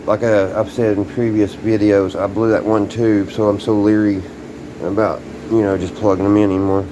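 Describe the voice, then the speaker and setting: A man with a fairly low voice talks casually through a hands-on hobby demonstration. He is informal and folksy, thinking aloud as he works. A clearly audible ambient hum sits behind him on the camera's microphone. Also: level tone, moderate pace. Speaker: hobbyist showing restored vintage radios. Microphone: handheld camera, sometimes set down farther away. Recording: loud background hum and ambient noise.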